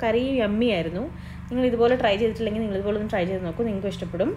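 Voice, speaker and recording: A woman's voice: mid-range voice, very fast. A young woman with a Malayali accent, chatting through a home cooking vlog in a kitchen and mixing English words into her speech. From Kerala. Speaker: cooking vlogger. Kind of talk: casual cooking voice-over. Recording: noisy background.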